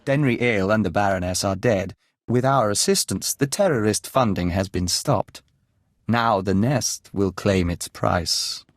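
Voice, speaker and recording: An actor playing a calm emissary of an insect hive in a video game, speaking formally and with detachment, as a collective "we". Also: male voice, on the low side, unhurried, no echo, studio quality. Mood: calm, detached.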